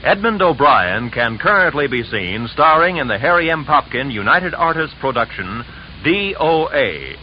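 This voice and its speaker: A man's voice: a little high, sing-song, moderate pace, a little hiss or hum. A man with an American accent announcing credits in the style of 1950s radio. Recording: dull vintage radio recording with music.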